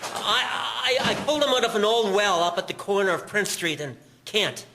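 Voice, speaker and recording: A male actor plays a kindly passer-by turned rescuer in an audio drama, urgent yet reassuring. His voice is high for a man, with long reverb and clear hiss around it. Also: lively intonation, normal speed. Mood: breathless urgency.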